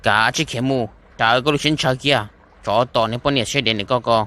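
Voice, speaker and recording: A man's voice: medium pitch, fast talker, sharp tone, close to an everyday voice. A man playing a young man in love in a cartoon romance, speaking in a conversational way. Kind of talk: amateur animated cartoon dialogue.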